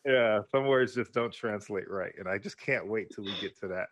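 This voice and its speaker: A man's voice: fairly low pitch, normal speed, fairly bright tone. A man co-hosting a podcast in casual chat, eager and playful, laughing often. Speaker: podcast co-host.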